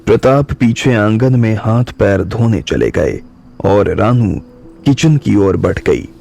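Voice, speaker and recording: A man narrating an audiobook drama slowly and calmly, his low voice dark and gravelly with a husky edge. Soft music plays under his narration. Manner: calm, measured storytelling. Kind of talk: slow scripted audiobook narration.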